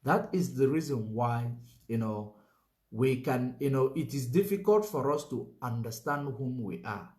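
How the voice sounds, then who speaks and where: A man's voice: medium pitch, animated, a little slow, darkish tone, echoey room. A man giving a political commentary monologue, emphatic, repeating his points and filling gaps with "you know".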